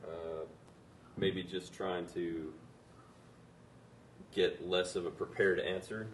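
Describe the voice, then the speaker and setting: A man's voice: low-pitched, steady tone, takes it slowly. A young man in the audience giving a tentative answer in a large conference room, heard from a distant microphone with a faint hiss. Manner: tentative, hedging. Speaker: seminar attendee. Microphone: far room mic.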